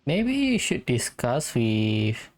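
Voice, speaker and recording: A man giving casual let's-play commentary, musing aloud in a halting way. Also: medium pitch, huge pitch swings. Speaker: gaming streamer.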